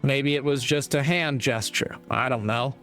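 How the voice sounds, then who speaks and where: A man giving reflective spoken commentary in an earnest, deliberate manner, pausing mid-sentence. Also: mid-range voice, fairly bright tone, faint music behind. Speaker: speaker giving moral commentary.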